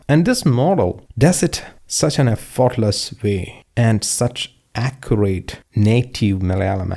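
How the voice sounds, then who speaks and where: A middle-aged Indian man with a low voice and a gravelly edge teaches a programming course over screen share. His tone is dark and mellow, and he walks through each step conversationally, adding asides as he goes. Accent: Indian English. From Kerala, India. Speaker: course instructor. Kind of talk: screen-share coding tutorial.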